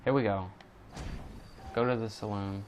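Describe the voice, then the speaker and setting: Low-voiced man casually commenting on a game. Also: steady tone, unhurried, a little hiss or hum. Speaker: gamer recording a playthrough.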